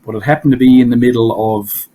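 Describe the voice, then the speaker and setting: A hoarse man hosting a casual podcast from his basement, rambling through stories and laughing at his own jokes. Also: medium pitch, steady tone, fast talker, crowd noise, poor audio. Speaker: podcast host. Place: home basement.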